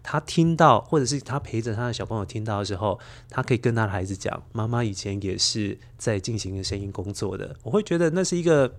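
A middle-aged man with a Taiwanese Mandarin accent explains his work in a measured, reflective way as an interview guest. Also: fairly low pitch, animated. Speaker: podcast guest, children's storyteller and radio DJ. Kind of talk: interview answers, explaining behind-the-scenes work.

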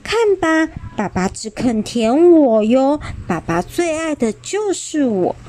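A woman's voice: high voice, very animated, slow, mellow, slight background noise, voice pushed up, heavily acted. A woman voicing a boastful, spoiled baby ankylosaur in a children's story, talking in a smug, childish way.

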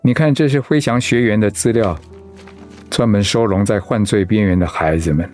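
An actor with a Taiwanese accent playing a gentle, ailing pastor and husband in a radio drama, earnest and reflective. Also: male voice, on the low side, moderate pace, faint music behind, lightly acted. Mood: calm, earnest. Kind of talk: Mandarin radio drama acting.

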